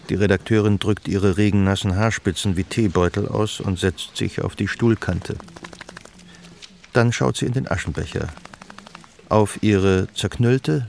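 An actor narrating as a detached, observant storyteller in a scripted literary radio drama. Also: male voice, on the low side, a little slow, vocal fry, darkish tone, a little hiss or hum. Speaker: third-person narrator.

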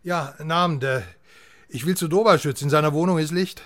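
Actor as an agitated, high-pitched newspaperman. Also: normal speed, fairly bright tone, close to an everyday voice. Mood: impatient. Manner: urgent, impatient. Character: agitated West German newspaperman looking for friend. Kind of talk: radio crime drama acting.

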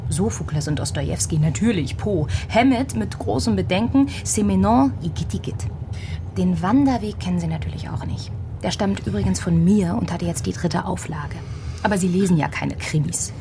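A woman's voice: fairly low pitch, lively intonation, normal speed, noisy background. An actress playing a prickly young female crime novelist in a German radio drama, pointed and sarcastic. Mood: indignant, sarcastic. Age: young adult.

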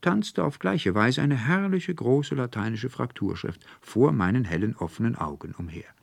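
A fussy registrar from a classic tale, voiced by a man, holds forth with ornate courtesy. He is formal and pedantic yet dreamy, in a creaky, dry-sounding voice. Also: mid-range voice, animated, moderate pace, no echo, pitched above the performer's own voice. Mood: earnest, florid.

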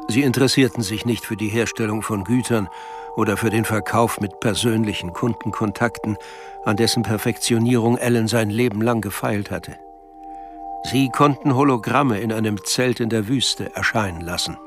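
A man's voice: on the low side, moderate pace, music in the background, lightly acted. A man narrating a melancholy literary story in an audio drama. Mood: measured narration. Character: male narrator of a melancholy novel.

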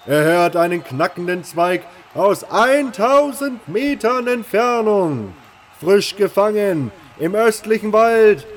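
A man voicing a fanatical warrior and arena announcer in a fantasy audio drama, proclaiming slowly, loudly and with drawn-out words. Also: sits high, animated, pitched above the performer's own voice. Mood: bombastic announcing. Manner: slow, loud, drawn-out proclaiming.

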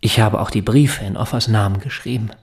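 An actor in a German radio drama playing an anxious, evasive, articulate father who speaks in a deliberate, formal, earnest way. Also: fairly low pitch, close to an everyday voice. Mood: earnest, confessional.

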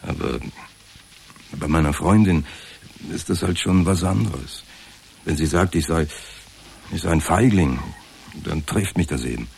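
An anxious, beaten-down office clerk in a radio play. The voice is extremely low and rough-edged, and he picks his way hesitantly through self-pitying sentences. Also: measured pace, long pauses, darkish tone, exaggerated voice. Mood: hesitant, aggrieved. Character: insecure, downtrodden male insurance clerk, anxious and hesitant. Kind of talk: naturalistic radio drama acting.

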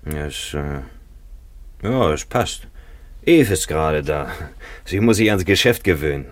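A man's voice: extremely low pitch, animated, unhurried, no echo. An actor playing a wry, down-to-earth petrol station man in a 1950s German radio drama, chatty, colloquial and joking, on an old noisy recording. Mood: confiding.

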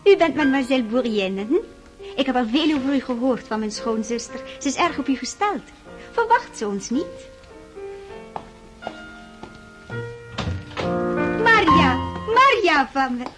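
An actress playing a chatty, dramatic young princess in a radio drama, gushing and affected in manner. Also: female voice, high-pitched, animated, moderate pace, a bit bright, faint music behind.

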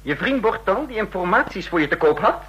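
An actor in an old radio play playing a stern, shrewd intelligence chief, with husky, authoritative, clipped delivery. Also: male voice, high-pitched, lively intonation, brisk, fairly bright tone, full character voice. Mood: commanding, scornful. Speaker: narrator and lead actor in a radio drama. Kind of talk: vintage Dutch radio play acting.

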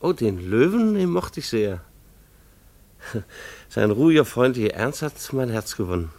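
An actor playing a mild-mannered, beleaguered schoolteacher husband who narrates a German radio play in a wry, earnest, hesitant way. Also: male voice, medium pitch, big pitch swings, moderate pace, creaky, a little mellow. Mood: wistful. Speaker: narrator and lead character.

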